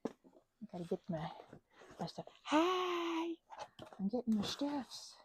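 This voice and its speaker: A woman rambling playfully in casual ASMR craft chat, scatterbrained and often interrupting herself, in a husky, breathy voice that often drops toward a whisper. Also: sits high, very animated, takes it slowly, slight background noise. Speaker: ASMR creator.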